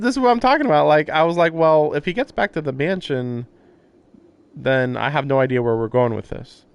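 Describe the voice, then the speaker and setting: A man's voice: medium pitch, clean tone. A man streaming a visual novel, reading the text aloud and mixing in casual commentary, with faint noise behind him.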